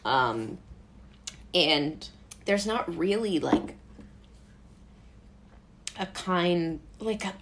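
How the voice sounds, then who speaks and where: An American woman with a lowish voice speaks as a guest in a casual interview. Her manner is informal and rambling, amused and quick to poke fun at herself. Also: lively intonation, fairly bright tone, slight background noise. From Brooklyn, United States.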